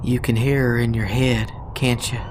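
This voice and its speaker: A man playing a simple, soft-hearted agent sidekick in an audio drama, folksy, childlike and sympathetic. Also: medium pitch, level tone, normal speed, noisy background, natural voice. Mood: hushed concern. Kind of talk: audio drama acting, reacting to a tale.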